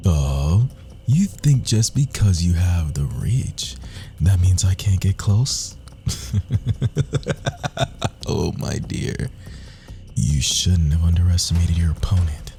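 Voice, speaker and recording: A man playing a sly, cocky rogue assassin in a fantasy audio roleplay, speaking softly and theatrically, often dropping into whispers. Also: expressive, slow, long pauses, soft background music, heavily acted. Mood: menacing, triumphant. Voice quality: low, gravelly, husky.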